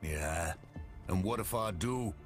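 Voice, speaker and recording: An actor playing a surly, menacing guard in a fantasy game, with a taunting, sardonic way of talking. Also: male voice, sits low, lively intonation, takes it slowly, music in the background. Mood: defiant, grudging. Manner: taunting, sardonic, casual threats. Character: surly, menacing male camp guard itching for a fight.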